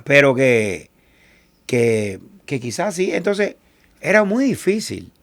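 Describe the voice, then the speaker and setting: A man from Cuba with a Cuban Spanish accent, a salsa singer talking as an interview guest and telling animated stories, often quoting other people. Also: medium pitch, takes it slowly. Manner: animated, quotes others in lively asides. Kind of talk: casual interview storytelling.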